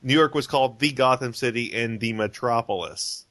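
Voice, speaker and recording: A man hosting a relaxed podcast discussion, his speech full of ums, restarts and detours. His voice sits in a middle register, and the room sound is completely dry and close. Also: mid-range voice, moderate pace, no room sound. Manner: rambling, many fillers and restarts. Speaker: podcast host. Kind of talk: casual chat about animated films.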